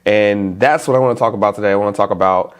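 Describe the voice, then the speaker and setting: A man with a stuffy nose, talking casually to camera as a vlogger in a relaxed, chatty, informal way. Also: on the low side, fast talker.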